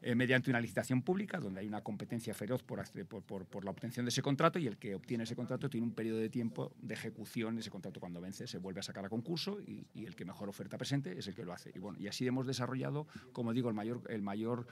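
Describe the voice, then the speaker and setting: Male industry spokesman with a Spanish accent, medium pitch, explanatory interview answers with restarts. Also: darkish tone. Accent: Spain. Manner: halting, repeats words while explaining. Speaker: bus industry association president, interviewee.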